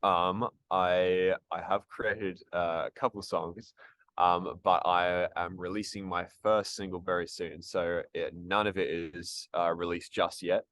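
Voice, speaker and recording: A man's voice: sits low, level tone, unhurried, fairly bright tone, no room sound. A man answering interview questions about acting and music, casual and hesitant, with many ums and restarts. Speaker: actor and musician, interview guest.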